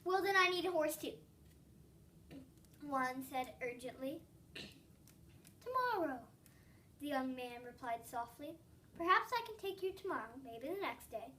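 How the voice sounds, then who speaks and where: A child of about eight reads a storybook aloud, giving an expressive reading with emphatic, stretched words. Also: high-pitched, measured pace, long pauses, a little hiss or hum. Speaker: young reader of a story. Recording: distant from the microphone.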